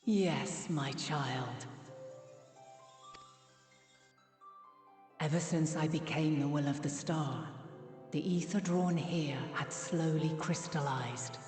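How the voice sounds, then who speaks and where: A woman's voice: low voice, slow, a little mellow. A woman voicing an ancient, motherly goddess in a fantasy game, speaking in stately, archaic phrases. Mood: calm, revelatory. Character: ancient benevolent goddess, solemn and motherly, weary. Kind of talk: dramatic fantasy game acting. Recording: reverberant hall, music underneath.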